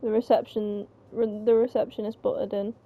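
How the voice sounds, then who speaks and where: A woman with a creaky voice reading a story script aloud informally, stumbling a little over the lines. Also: mid-range voice, flat delivery, moderate pace. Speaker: reader of the narration. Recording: slightly muffled mic, faint background noise.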